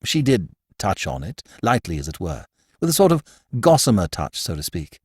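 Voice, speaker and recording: A man's voice: deep voice, big pitch swings, moderate pace, breathy edge, dry recording, clean studio sound, exaggerated voice. A man reading an audiobook and voicing a chatty, jaunty young upper-class man who teases his friend with airy slang. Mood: teasing. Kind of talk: audiobook character voice.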